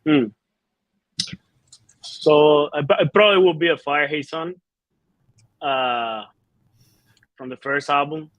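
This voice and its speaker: Halting Cuban-born metal singer, dry sound. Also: male voice, a little high, fairly bright tone, no room sound, poor audio. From Cuba. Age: 30s. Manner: halting, many fillers and restarts. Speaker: band singer and bassist. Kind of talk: casual interview answers.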